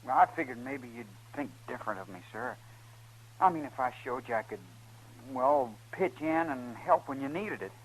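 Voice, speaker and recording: An actor playing an earnest, respectful young son with desperate pride in an old-time radio western. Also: male voice, medium pitch, expressive, moderate pace, close to an everyday voice, poor audio. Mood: earnest, resigned. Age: young adult.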